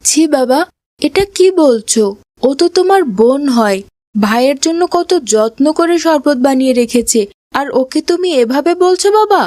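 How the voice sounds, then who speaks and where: A woman playing a gentle, reproving mother of a schoolboy in a children's moral cartoon. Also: high voice, animated, moderate pace, no room sound, clean studio sound.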